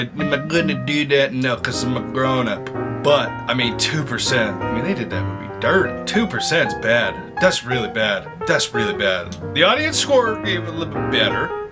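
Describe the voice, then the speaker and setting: A young man giving casual reaction commentary in an informal, slangy, exclaiming way as a video host. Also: medium pitch.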